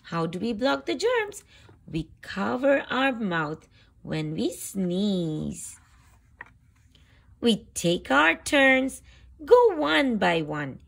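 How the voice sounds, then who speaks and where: A woman reads aloud to small children in a highish, very lively voice with some creak in it. She takes the words very slowly and deliberately, stressing them expressively as a picture-book host. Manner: slow, deliberate phrasing with animated emphasis for young listeners.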